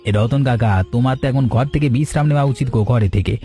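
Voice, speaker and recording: A man acting as a caring village farmer in a cartoon, with a mellow, relaxed tone at a middling pitch. He sounds earnest and respectful, over faint music. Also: fast talker, close to an everyday voice. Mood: earnest reassurance. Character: earnest village farming men, dutiful and caring.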